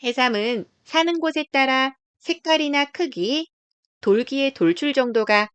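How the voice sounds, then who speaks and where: A woman's voice: fairly high pitch, clean tone, no echo. A woman narrating a scripted children's nature book in a careful, explanatory read-aloud way. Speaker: storybook narrator.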